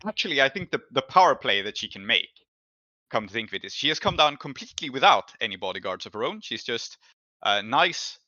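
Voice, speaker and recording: A man's voice: medium pitch, sing-song, brisk, bright, forceful tone, no echo, dull audio. A man improvising narration as the game master of a tabletop role-playing game.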